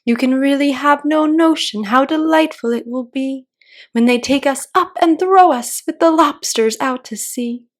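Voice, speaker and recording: A woman voicing a sentimental, dramatic male mock turtle from a storybook, with expressive, drawn-out delivery. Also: high-pitched, pitched above the performer's own voice. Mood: earnest, wistful.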